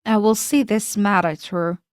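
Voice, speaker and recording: A woman's voice: mid-range voice, steady tone, measured pace, clean tone, dry recording, close to an everyday voice. A woman reading the lines of an angry, moralizing male city official in a story, close to her own voice. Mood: threatening. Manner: threatening.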